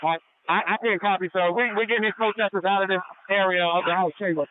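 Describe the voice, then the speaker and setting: Male police officer radioing in, high clear voice, procedural and terse, band-limited radio transmission. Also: fairly even intonation, fast talker, narrow, tinny audio. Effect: two-way police radio. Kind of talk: police field radio reports.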